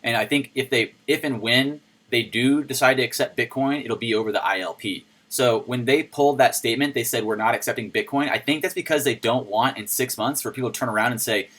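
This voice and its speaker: A man with a low voice hosting a casual crypto news video, reading and commenting on articles in a chatty, playful way. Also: fairly quick, hardly any pauses. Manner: chatty and playful, with sudden shouted jokes.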